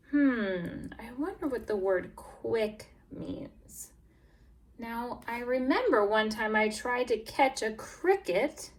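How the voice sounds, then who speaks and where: Very slow, animated woman teacher reading aloud. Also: on the high side. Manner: expressive, exaggerated storytelling, emphasizing key words; encouraging, prompts listeners and praises answers. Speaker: teacher reading a picture book to English language learners. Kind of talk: read-aloud lesson for young children, with questions and prompts.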